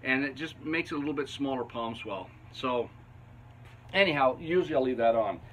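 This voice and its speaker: A man's voice: mid-range voice, lively intonation, a little fast, fairly bright tone, a little hiss or hum. A man in his fifties from Canada, chatting in a folksy, easygoing way with chuckling asides as he teaches woodworking in his basement shop, picked up by a camera on the bench. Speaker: woodworking channel host. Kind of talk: casual instructional talk while working. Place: basement woodshop. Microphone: camera on a bench a few feet away.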